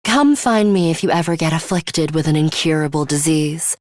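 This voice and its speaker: A woman's voice: low voice, moderate pace, a little mellow, dry recording, natural voice. A woman playing a serious, coolly arrogant schoolgirl fighter with a bloodthirsty streak in a video game, speaking in a formal, precise, faintly sinister way. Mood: composed, aloof. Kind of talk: English video game voice acting, measured monologue.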